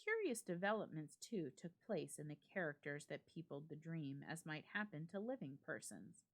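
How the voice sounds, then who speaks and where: A woman giving a dramatic reading of a classic ghost story, with measured, expressive phrasing and deliberate pauses. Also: low-pitched. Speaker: library staff member reading a story aloud.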